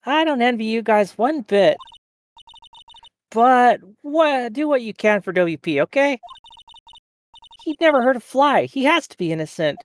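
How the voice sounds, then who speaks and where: A woman's voice: on the high side, lively intonation, measured pace, pauses often. A woman voicing a chatty, earnest young female studio assistant in an amateur video game dub. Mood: concerned.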